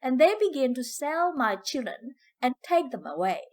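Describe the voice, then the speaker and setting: A woman's voice: high-pitched, very animated, moderate pace, darkish tone. A woman playing an older former slave from the American South, proud, fiery and grieving, telling her story in folksy dialect. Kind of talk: dramatic first-person storytelling in dialect.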